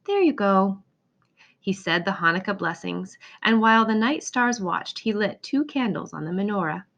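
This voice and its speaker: A woman reading a children's picture book aloud in an expressive storytelling manner. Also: medium pitch, normal speed. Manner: expressive, acts out exclamations and dialogue. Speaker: storybook reader.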